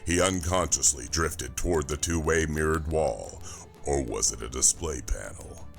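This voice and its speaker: A man narrating a science fiction thriller audiobook from a script, in a measured, dramatic way. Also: very deep voice, vocal fry, soft background music.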